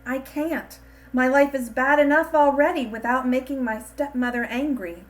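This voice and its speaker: A woman voicing a quiet, obedient, frightened village girl in a storybook, timid in manner. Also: sits high, normal speed, voice pushed up, natural voice. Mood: timid, sad. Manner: timid, whispery.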